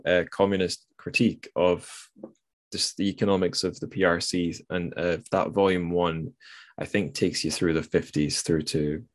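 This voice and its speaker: A man with a low voice hosts a casual literary discussion. He is tentative and hedges as he thinks aloud. The sound is dry, with very little room to it. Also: no echo. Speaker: podcast host.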